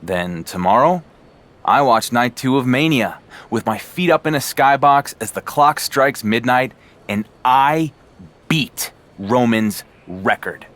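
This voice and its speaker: A man's voice: medium pitch, expressive, slow pace, a bit bright. A man playing a cocky, cool-headed wrestling champion in a game cutscene, with a dry, cutting manner.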